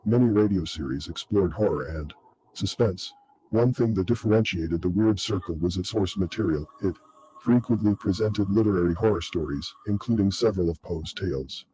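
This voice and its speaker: A man's voice: deep voice, normal speed, warm tone, natural voice, low-quality recording. A man playing a spooky horror show host, giving a formal, theatrical scripted radio introduction. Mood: ominous, formal. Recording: faint background noise.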